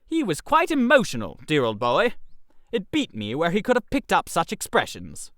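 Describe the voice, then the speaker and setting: A theatrical storyteller reads scripted comic narration. The delivery is wry and hugely animated in pitch, with a mid-pitched voice and a very dry recording. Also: moderate pace, dry recording.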